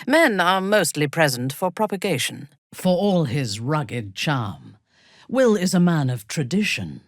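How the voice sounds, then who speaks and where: An actress playing a haughty, ruthless female dark elf warlord in a video game, imperious and formal, with a breathy, husky voice. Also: low voice, big pitch swings, dry recording, studio quality, full character voice. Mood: cold, matter-of-fact. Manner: imperious, formal, deliberate, coldly menacing. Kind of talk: video game character dialogue.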